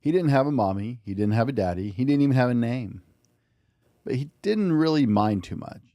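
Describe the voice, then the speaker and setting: A man hosting and reading a children's picture book aloud in an expressive, gentle bedtime-story way. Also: medium pitch, a little fast, dry recording. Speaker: podcast host and storybook reader.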